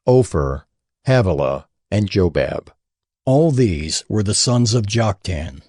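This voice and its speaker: A man narrating scripture in a solemn, measured and formal scripted reading. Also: lowish voice, darkish tone, no room sound, clean studio sound. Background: faint music.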